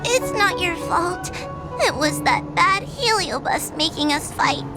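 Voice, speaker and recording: A very high, fragile girl's voice for a video game ghost hunter. She is shy and full of self-doubt, speaking slowly in a tearful whimper over clearly audible music. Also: very animated, heavily acted. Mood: tearful, apologetic. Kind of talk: video game cutscene acting.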